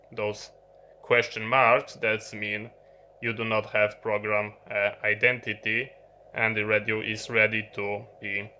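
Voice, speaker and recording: A man who is not a native English speaker gives an unscripted product explanation, halting and often pausing mid-sentence. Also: lowish voice, bright, forceful tone. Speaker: video presenter.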